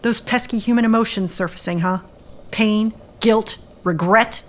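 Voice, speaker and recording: An actress playing a sharp-tongued, unimpressed woman in an audio drama, with a dry, sarcastic manner. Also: medium pitch, a little slow, lightly acted. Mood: sarcastic, taunting.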